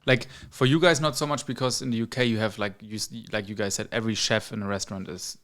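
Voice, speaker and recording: A man's voice: on the low side, steady tone, fast talker, fairly bright tone. A man from Germany, a podcast host chatting casually in English, agreeing and phrasing things loosely.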